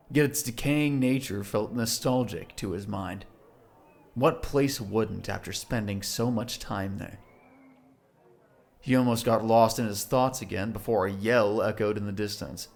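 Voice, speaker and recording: A man's voice: lowish voice, gravelly. A man narrating a scripted audio drama in a dramatic storytelling style.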